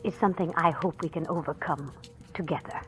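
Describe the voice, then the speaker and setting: An actress playing a kindly, gently insistent middle-aged mother in a 1940s radio drama, speaking with genteel tenderness. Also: sits low, brisk. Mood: tender, earnest. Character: kindly, gently insistent mother welcoming a bereaved daughter-in-law. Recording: vintage radio broadcast, faint music.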